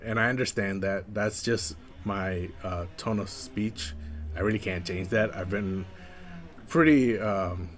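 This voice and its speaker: A young man with a slight lisp, chatting casually as a first-time streamer in a flat, monotone way with many fillers. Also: fairly low pitch, measured pace, soft background music. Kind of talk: casual chat.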